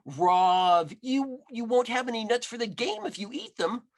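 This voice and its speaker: A man's voice: very high voice, very animated, measured pace, gravelly, pitched above the performer's own voice, full character voice. Kindly, fussy old grandmother, voiced by a man. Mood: gently scolding. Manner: fussy, welcoming, gently scolding. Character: kindly, chatty ninety-seven-year-old village grandmother, hard of hearing.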